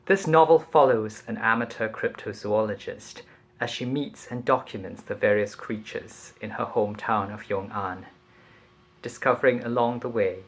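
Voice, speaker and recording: Slow-paced man reading literary fiction. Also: lowish voice. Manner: measured, deliberate, expressive storytelling. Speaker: translator reading aloud. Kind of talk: literary reading of a novel passage.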